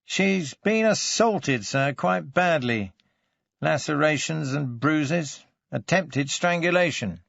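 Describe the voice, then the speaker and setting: A dutiful police sergeant, voiced by a male audiobook reader, reporting formally and with deference. The voice sits higher and moves slowly, in a dry, slightly dull recording. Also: lively intonation, creaky, fairly bright tone, no room sound, full character voice. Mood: grave, deferential.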